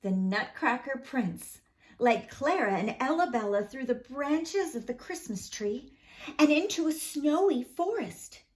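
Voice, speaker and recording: A woman reads a children's story in a high voice at a slow pace. Her narration is dramatic and careful, with lots of rise and fall in pitch. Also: a bit bright.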